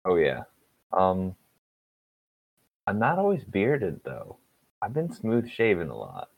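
A man's voice: sits low, huge pitch swings, slow, creaky. A man in his twenties, a cook, chatting as a podcast guest in an easygoing, wry, rambling way. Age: 20s. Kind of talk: casual chat. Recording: dull lo-fi sound.

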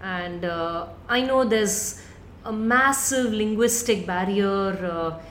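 A woman with an Indian English accent who grew up in South India, speaking reflectively with pauses and restarts as a spoken word performer in a live interview. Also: medium pitch, a bit bright. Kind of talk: conversational interview answers. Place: live event room. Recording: roomy live recording, faint noise.

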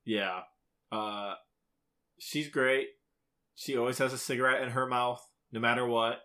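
A man's voice: medium pitch, fairly even intonation, measured pace, sharp tone. A man co-hosting a podcast, chatting casually about a video game in an informal, opinionated way. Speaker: podcast co-host.

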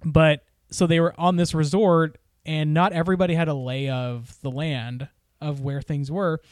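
Guy gossiping about tv, kinda high voice, lots of "like", dry close mic. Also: fairly even intonation, normal speed, no echo. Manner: chatty, mimics TV host voices in quotes. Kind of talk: casual chat.

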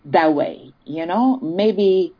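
A woman's voice: lowish voice, extremely slow, slightly rough, lo-fi sound. A woman from Italy, chatting as an interview guest and laughing often. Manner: chatty, laughs often, many "you know"s. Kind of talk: casual interview.

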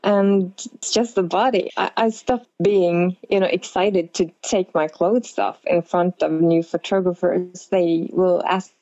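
A woman with a Norwegian accent and a noticeably creaky voice speaks as an interview guest. She answers reflectively and hesitantly, feeling for her words. The recording is close and dry but a little dull. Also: on the low side, steady tone, measured pace, hardly any pauses, warm tone, no room sound. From Norway.

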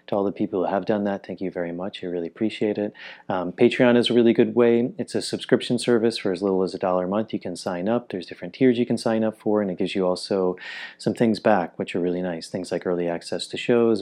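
A man with a low-pitched voice talks as the host of a podcast. His delivery is conversational, in the plain style of an introduction or an interview. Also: mellow.

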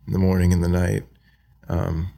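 A young man from Australia with an American accent, talking softly and hesitantly as a podcast guest, with many fillers. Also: deep voice, level tone, moderate pace, creaky. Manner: soft-spoken, hesitant, many ums and likes. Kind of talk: casual interview talk.

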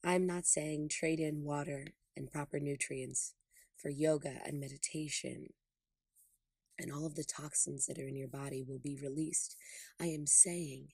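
A woman hosting a guided meditation in a breathy, soothing voice, speaking deliberately in short phrases with pauses. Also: low voice, fairly even intonation, a little mellow, no echo. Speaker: podcast host and meditation guide.

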